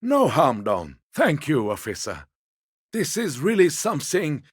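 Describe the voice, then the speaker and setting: An elderly, obliging video game character with a fairly high, husky voice. He takes his time and swings widely in pitch, always mild, deferential and a little evasive. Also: huge pitch swings, measured pace, no echo, studio quality, exaggerated voice. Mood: apologetic, placating. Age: older. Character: elderly, meek, polite, evasive old man.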